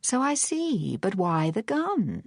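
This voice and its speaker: A woman's voice: lowish voice, huge pitch swings, normal speed, husky, dry recording, clean studio sound, full character voice. A woman voicing a poised, sardonic lady adventurer in an audiobook, with a composed and needling drawl.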